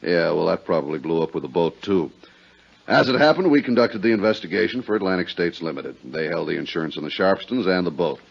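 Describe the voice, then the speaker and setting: An actor playing a hearty, plain-spoken insurance adjuster, matter-of-fact and conversational, in a 1950s radio drama. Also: male voice, low voice, expressive, brisk, creaky, heavily acted. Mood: matter-of-fact, insistent. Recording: dull 1950s radio recording.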